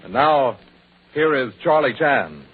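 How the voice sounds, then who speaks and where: An American man working as a radio announcer, reading scripted copy in 1940s broadcast style with a husky voice. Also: medium pitch, very animated, takes it slowly, narrow, tinny audio, heavy background noise.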